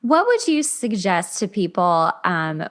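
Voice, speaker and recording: A woman's voice: lowish voice, big pitch swings, unhurried. A woman hosting a podcast, speaking in a casual, conversational interview style. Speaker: podcast host.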